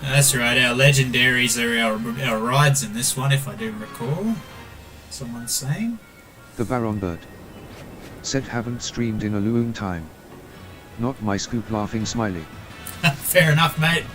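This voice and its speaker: A man's voice: mid-range voice, expressive, measured pace, music in the background. An Australian man streaming a game, chatting casually and calling everyone mate while he reads viewer messages aloud. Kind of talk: casual gameplay stream chat.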